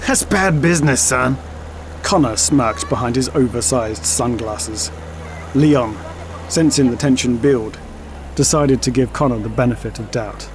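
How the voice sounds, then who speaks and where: A man's voice: mid-range voice, measured pace, noisy background. A man reading scripted narration for an audio drama.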